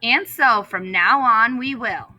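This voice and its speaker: A woman's voice: fairly high pitch, slow, bright, forceful tone, lo-fi sound, exaggerated voice. A woman voicing a proud, earnest male school principal from a storybook, speaking emphatically with relish. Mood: earnest, announcing. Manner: emphatic, declamatory, repeats words with relish.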